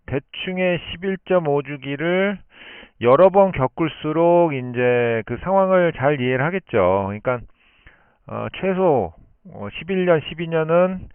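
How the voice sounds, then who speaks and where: Male ham operator, polite explainer, smooth clear voice through a thin, phone-like shortwave signal. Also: mid-range voice, no room sound. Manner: explanatory, polite formal speech. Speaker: amateur radio operator. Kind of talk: explanatory radio contact talk. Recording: muffled narrowband shortwave radio transmission. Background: shortwave radio link.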